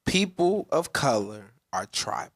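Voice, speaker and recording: A man's voice: medium pitch, sing-song, a little slow. A man co-hosting a podcast, explaining ideas step by step and checking in with "right?". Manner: explanatory, ends points with "right?", builds to loud emphasis. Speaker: podcast co-host. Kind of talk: casual podcast discussion.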